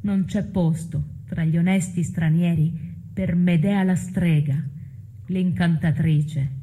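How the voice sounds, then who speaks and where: An actress speaking as a self-righteous chorus of townspeople in a radio drama, in a measured, solemn, proclamatory delivery. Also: female voice, lowish voice, fairly even intonation, warm tone, breathy edge, exaggerated voice, poor audio. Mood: sanctimonious. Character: self-righteous citizen chorus, smug and judging.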